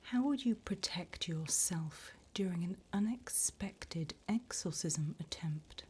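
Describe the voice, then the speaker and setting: Low whispering demon interviewer played by a woman. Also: animated, slow, mellow, exaggerated voice. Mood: businesslike, teasing. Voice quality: breathy whisper. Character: female demon job interviewer in hell, wickedly amused, businesslike. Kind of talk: whispered ASMR roleplay.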